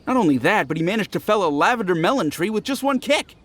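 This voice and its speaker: A friendly young village gossip from an anime-style game. His voice sits fairly high and moves in lively swings. He speaks like an eager storyteller. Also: quick pace, noisy background. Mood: excited storytelling.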